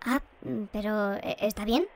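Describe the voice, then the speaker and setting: An actress playing a courteous, bemused woman radio host shrunk to half size, with a small squeaky voice, in an audio drama. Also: unhurried, dry recording, close to an everyday voice. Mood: relieved, courteous. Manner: hesitant, repeats words when puzzled. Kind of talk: Spanish audio fiction radio phone-in.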